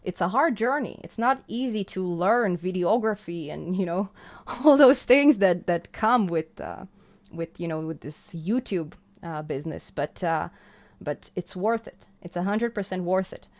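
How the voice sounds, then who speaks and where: A woman's voice: on the low side, animated, measured pace, a little mellow. A woman from Israel with a Hebrew accent, hosting her channel's live stream and chatting casually as she reads viewer comments, effusive and full of fillers. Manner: chatty and effusive, gushing with thanks, many fillers and restarts. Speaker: channel host.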